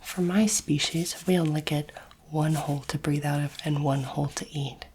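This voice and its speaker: A woman whispers gently and breathily, playing a polite alien space doctor in an ASMR exam. Her delivery is measured, reassuring and very level in tone. The recording carries clear background noise. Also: sits low, fairly even intonation. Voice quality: breathy, mostly unvoiced whisper. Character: courteous, curious alien space doctor examining a human. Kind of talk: whispered ASMR medical roleplay. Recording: noisy close recording, clicking sounds.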